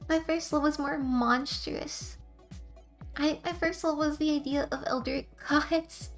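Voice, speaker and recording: A young woman with a high, soft, quiet voice chats casually with her viewers. She rambles and giggles, drifting between stories. Faint music plays quietly behind her. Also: steady tone, unhurried. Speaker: streamer.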